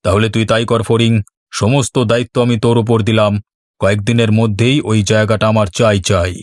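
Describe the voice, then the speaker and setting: A man's voice: fairly low pitch, flat delivery, fairly quick, no room sound, clean studio sound, natural voice. A man voicing a covetous rich village boss in a cartoon, calculating and commanding toward his underling. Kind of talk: cartoon voice acting.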